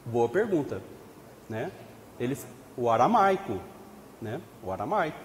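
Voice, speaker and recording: A man's voice: medium pitch, sing-song, unhurried, slight background noise. A man with a Brazilian Portuguese accent leading a religious study group, explaining in a conversational way with rhetorical questions and frequent tag questions. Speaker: study group leader at a spiritist center. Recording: echoey hall with long reverb.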